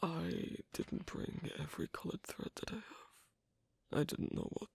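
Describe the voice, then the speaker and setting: A performer voicing an asocial werewolf in an ASMR roleplay, hesitant and gently instructive, in a whispery, breathy, creaky voice. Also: mid-range voice, huge pitch swings, a little slow, dry recording, full character voice. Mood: patient, explaining. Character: asocial werewolf on a first date, patiently teaching sewing.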